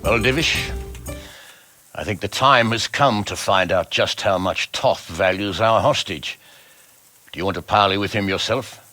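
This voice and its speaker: An actor playing a pragmatic, plain-spoken lord with an authoritative manner in a video game cutscene. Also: male voice, lowish voice, a little slow, sharp tone, faint music behind. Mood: resolute. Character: pragmatic, decisive medieval lord planning a siege.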